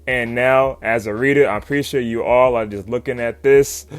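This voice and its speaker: A man giving a casual, excited comic recap, full of slang, swearing and chuckles. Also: medium pitch, normal speed, no room sound. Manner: slangy, swearing, often chuckling hype talk. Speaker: YouTube comic commentator. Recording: background music under voice. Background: music.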